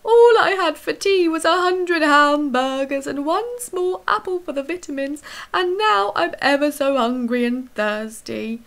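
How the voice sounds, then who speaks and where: A woman voicing a big furry monster who whines and pleads in a high wail. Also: lively intonation, unhurried, a bit bright, full character voice. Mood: whining. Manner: whiny, wailing, high-pitched pleading.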